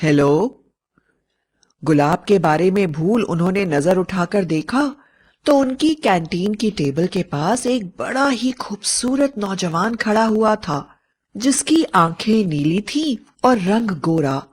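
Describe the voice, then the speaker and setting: A woman's voice: medium pitch, lively intonation, slow pace, breathy edge. A woman narrating a scripted story as a storyteller. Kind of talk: scripted fairy-tale narration.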